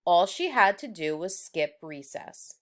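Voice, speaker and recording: A woman reading a children's story aloud in a deliberate, expressive storyteller's manner. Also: fairly low pitch. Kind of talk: children's book read-aloud.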